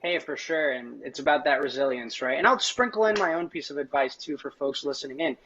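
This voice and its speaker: A man's voice: fairly high pitch, fairly quick, crowd noise. A man hosting an interview show in an informal, encouraging way. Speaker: host. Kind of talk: upbeat live interview hosting. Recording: dull, lo-fi audio.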